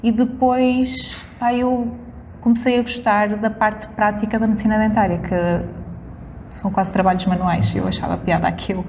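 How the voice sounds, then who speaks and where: A woman's voice: medium pitch, steady tone, normal speed, creaky, a little mellow. A woman in her thirties from northern Portugal with a northern Portuguese accent, chatting casually and laughing often as a guest. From Valongo, northern Portugal. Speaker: guest dentist. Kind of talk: casual conversation. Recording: long reverb, faint noise.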